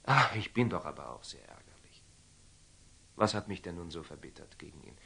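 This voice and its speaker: An actor playing a proud, hot-headed knight in love, in an agitated, self-questioning monologue from a classical radio drama. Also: male voice, low voice, lively intonation, brisk, vocal fry, pushed and bright, husky, heavily acted. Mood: irritated.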